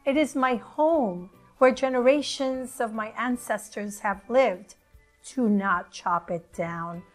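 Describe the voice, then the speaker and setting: A woman voicing a solemn old snake in a storybook, hushed and hissing. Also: on the high side, slow pace, faint music behind. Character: solemn, ancient boa constrictor snake.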